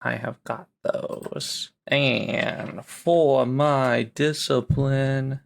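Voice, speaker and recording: A man's voice: medium pitch, steady tone, very slow, creaky. A man chatting casually at the table as a player in a tabletop game.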